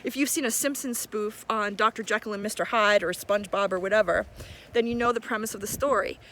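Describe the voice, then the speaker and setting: A woman's voice: mid-range voice, fairly quick, a bit bright, rough recording. A middle-aged woman speaking as an encouraging teacher in a casual video message to students, recorded outdoors in a park. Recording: outdoor park ambience noise.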